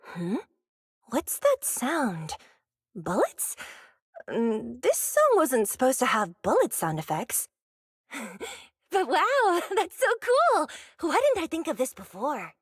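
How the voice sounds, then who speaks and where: A bubbly, high-voiced young pop idol. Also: female voice, slow pace, slightly rough, dry recording, clean studio sound, heavily acted. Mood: puzzled, worried. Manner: expressive, dramatic, talks to herself. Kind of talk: anime-style video game voice acting. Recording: music behind the voice.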